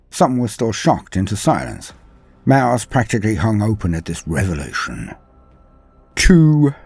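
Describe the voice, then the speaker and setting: A man narrating a dramatic science fiction story in a measured, theatrical way. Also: deep voice, a little mellow, husky.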